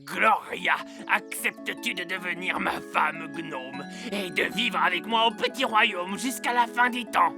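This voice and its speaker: A man's voice: high-pitched, measured pace, few pauses, over music. An actor voicing a bashful, lovestruck little male gnome in a cartoon, stammering and earnest. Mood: tender. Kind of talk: French cartoon dub.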